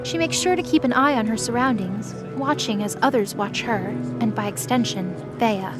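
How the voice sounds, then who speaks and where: A woman with a highish voice narrates a fantasy story from a script. Music plays clearly behind her words. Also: moderate pace.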